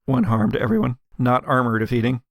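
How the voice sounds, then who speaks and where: A man narrating a tabletop role-playing game as game master, telling the story dramatically with deliberate pauses. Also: medium pitch, sing-song, vocal fry, no room sound, clean studio sound. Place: dry home studio.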